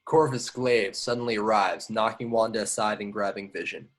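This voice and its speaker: A man's voice: lowish voice, steady tone, moderate pace. A man reading screenplay action lines at a table read, matter-of-fact with the odd stumble. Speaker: stage-direction reader.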